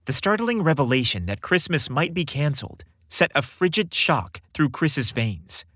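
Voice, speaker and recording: A man hosting a podcast and narrating a scripted story in a theatrical, expressive way. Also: on the high side, no room sound, studio quality.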